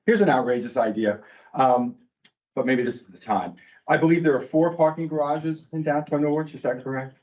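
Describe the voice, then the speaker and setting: A man's voice: a little high, brisk, a little mellow, dull audio. A man making a wry, tentative comment at a city meeting. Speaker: resident speaking at public meeting. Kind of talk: informal public comment.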